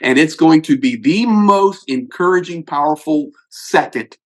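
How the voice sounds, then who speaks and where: A man's voice: fairly high pitch, expressive, measured pace. A middle-aged man who hosts a channel and works as a pastor and aid volunteer, making an earnest, emphatic appeal to viewers. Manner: earnest, emphatic, pushes on through restarts. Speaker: host.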